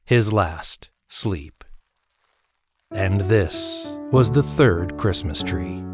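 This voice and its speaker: A man narrating a story from a script in a measured storytelling manner. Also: low-pitched, expressive. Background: music.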